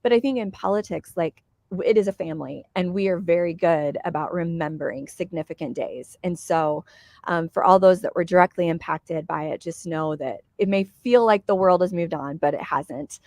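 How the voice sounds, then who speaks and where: A woman in her forties, hoarse from a cold, co-hosting a casual live political chat from outdoors and talking chattily with many fillers. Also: fairly low pitch, normal speed, a little mellow, dry recording. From Michigan. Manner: chatty, laughs between phrases, many fillers.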